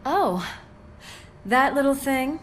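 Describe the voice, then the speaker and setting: A woman's voice: high-pitched, lively intonation, slow pace, slight background noise. A woman playing a loyal, concerned police officer in a video game, talking in an informal, caring way. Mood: warm, concerned.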